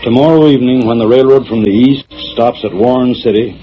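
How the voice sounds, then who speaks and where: A man playing a kindly, solemn frontier mission priest with formal, measured phrasing in 1940s radio drama. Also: medium pitch, soft background music, lightly acted. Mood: grave, concerned. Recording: muffled, noisy 1940s radio recording.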